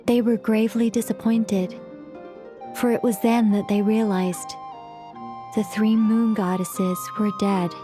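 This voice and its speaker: A woman's voice: mid-range voice, flat delivery, unhurried, music in the background, close to an everyday voice. An actress playing an eccentric, absent-minded female mage in a video game, explaining at length in a scholarly, wordy manner. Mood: solemn narrating. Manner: long-winded explaining, flustered when interrupted.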